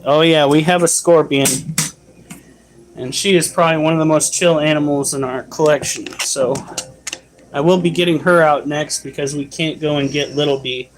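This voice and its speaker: A man's voice: on the high side, fairly even intonation, moderate pace, noisy background. A man hosting a casual livestream, chatting and explaining how to care for his pets while answering chat. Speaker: livestream host and pet keeper.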